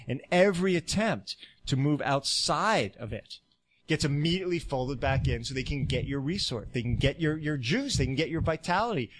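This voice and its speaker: Man giving an impassioned, repetitive lecture. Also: medium pitch. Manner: rhetorical, repeating phrases for emphasis. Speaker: guest speaker.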